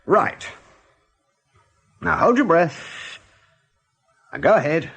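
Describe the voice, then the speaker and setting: An actor playing a brisk rabbit captain in a radio play, commanding, mockingly jovial and husky. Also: male voice, fairly high pitch, lively intonation, a bit bright, heavily acted. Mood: jovially commanding. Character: brisk male rabbit captain, genial yet menacing officer. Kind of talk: radio drama acting.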